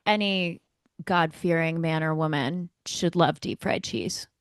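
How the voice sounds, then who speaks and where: A woman's voice: low-pitched, a little slow, clean tone, no room sound. A woman co-hosting a podcast, chatting casually and giving her opinions with emphasis. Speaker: podcast co-host. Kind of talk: casual chat.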